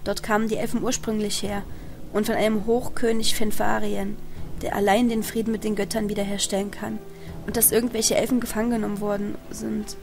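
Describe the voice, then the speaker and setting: A woman's voice: on the high side, vocal fry. A woman playing a scholarly, careful female translator in a fantasy audio drama, explaining and reading aloud. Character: scholarly, hesitant female translator of elven writings.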